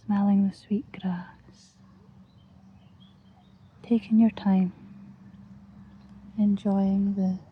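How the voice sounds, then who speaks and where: Scottish girl doing asmr guided visualisation, soft breathy half whisper, really even pitch, bit muffled outdoor recording. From Scotland. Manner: gentle, slow, soothing, with long pauses. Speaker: ASMR host. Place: outdoors, lying on grass. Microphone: close-up.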